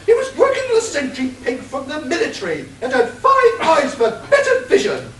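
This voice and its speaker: A woman's voice: huge pitch swings, normal speed, fairly bright tone, exaggerated voice. A woman playing a pompous dim titled lady. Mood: haughty. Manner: pompous, blustering, swooping pitch. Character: dim-witted titled English lady detective. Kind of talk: amateur radio play. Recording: roomy, distant, faint hiss.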